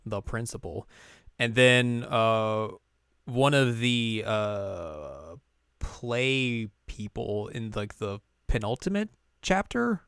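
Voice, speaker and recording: A man's voice: lowish voice, animated, takes it slowly, a bit bright, clear, resonant tone, dry recording, studio quality. A man co-hosting a podcast in casual chat, rambling with lots of fillers and frequent laughs. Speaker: podcast co-host.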